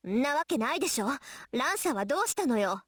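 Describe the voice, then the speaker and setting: A woman playing a proud, sharp-tongued teenage girl mage in a Japanese anime visual novel, with music behind her. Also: high-pitched, sing-song, racing, breathy edge, no echo, clean studio sound, full character voice. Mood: startled, annoyed.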